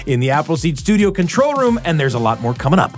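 A man's voice: on the high side, very animated, fast. A man hosting a radio show in a studio, effusive and welcoming, with a conversational scripted delivery. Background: music with plucked guitar.

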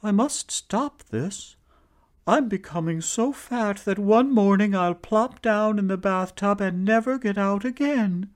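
A male storyteller voicing a brave, clever wife who bakes pies, in a raised voice. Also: unhurried. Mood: fretful. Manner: high-pitched, pleading then firm. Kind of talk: storytelling performance.

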